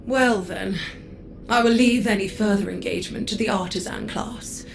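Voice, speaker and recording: A woman's voice: mid-range voice, gravelly, a little hiss or hum, lightly acted. Woman voicing a haughty council envoy. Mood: condescending. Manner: slow, formal, condescending. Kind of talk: game cutscene voice acting.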